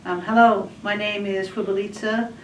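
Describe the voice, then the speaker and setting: A woman in her forties from Washington State giving a personal recitation in a halting, list-like way with many pauses and ums. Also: medium pitch, little pitch movement, slight background noise. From Washington State, USA. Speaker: student speaker. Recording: roomy echo, distant mic.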